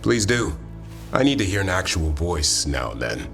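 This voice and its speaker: An actor playing a wry, earnest warrior of an honorable fantasy order in a video game, with dry, measured delivery. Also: male voice, sits low, sing-song, vocal fry, music in the background, exaggerated voice. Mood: wry, relaxed.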